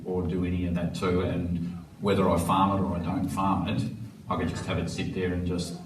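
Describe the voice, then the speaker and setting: A man's voice: low voice, completely flat delivery, a little fast. A man chairing a council meeting as mayor, blunt and plainspoken. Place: council chamber. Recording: echoey chamber, distant mic, faint hiss.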